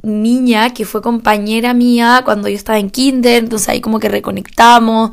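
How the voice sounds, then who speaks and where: A woman's voice: fairly high pitch, little pitch movement, moderate pace, creaky. A young woman from Chile with a Chilean Spanish accent, talking casually on her own podcast, chatty with fillers and small laughs. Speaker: podcast host.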